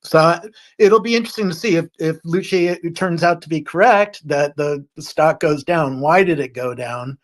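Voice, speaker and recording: A man's voice: on the high side, moderate pace. A man speaking as a panelist in casual market commentary, setting out his view analytically, point by point.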